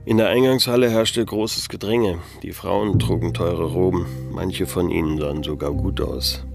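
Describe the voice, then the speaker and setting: An actor playing a disillusioned, laconic private detective in a noir radio play, speaking and narrating with dry irony. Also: male voice, low voice, steady tone, moderate pace, vocal fry, over music. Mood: wry narration. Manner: laconic, dryly ironic.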